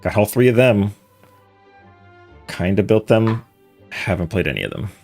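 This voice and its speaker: A man streams a game with a low, gravelly voice full of fry. His commentary is casual, dry and offhand, with faint music underneath. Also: very animated, a little slow, darkish tone. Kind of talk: casual live playthrough commentary.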